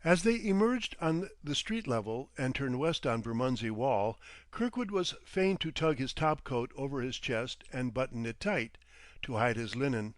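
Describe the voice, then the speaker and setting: Male narrator, mid-pitched voice, measured literary reading, detective fiction audiobook, very dry recording. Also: animated, no room sound.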